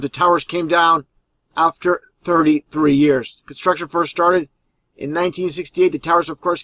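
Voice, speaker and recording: A man's voice: a little high, a bit bright, dry recording, poor audio. A man giving informal video commentary as a narrator.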